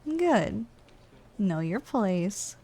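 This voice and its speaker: A woman's voice: medium pitch, very animated, drawn-out words, dark tone, a little hiss or hum, exaggerated voice. A woman voicing a playful, lazy giantess office worker in an intimate ASMR roleplay, coaxing and casually condescending as she speaks straight to the listener. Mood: bossy, teasing.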